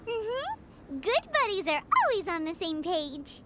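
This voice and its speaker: Squeaky, extremely high-pitched little fairy companion. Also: female voice, wildly swooping pitch, takes it slowly, very noisy, heavily acted. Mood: cheeky. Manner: perky, cheeky, speaks of herself in third person. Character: tiny childlike female fairy companion, cheeky, excitable, food-loving. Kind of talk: scripted game voice acting. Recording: loud music behind the voice.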